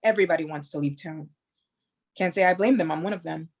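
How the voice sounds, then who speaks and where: An actress in a staged play reading, playing a stern former police chief who answers in short, cold, clipped lines. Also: female voice, low-pitched, fast.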